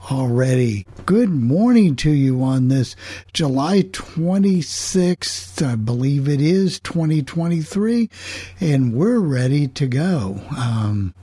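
Unhurried guy chatting as host, mellow voice, rambles a bit, close dry sound. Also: medium pitch, breathy edge, dry recording. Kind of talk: casual opening monologue of a call-in club show.

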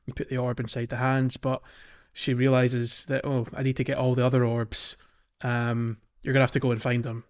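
A man's voice: medium pitch, level tone, moderate pace, few pauses. Man explaining things casually, slightly muffled recording. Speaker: podcast co-host. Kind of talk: casual chat, explaining a game. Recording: dull lo-fi voice track.

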